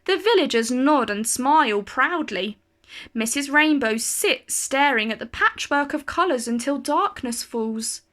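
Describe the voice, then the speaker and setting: Female storyteller, higher-pitched voice, for an expressive picture-book read-aloud with deliberate, dramatic phrasing.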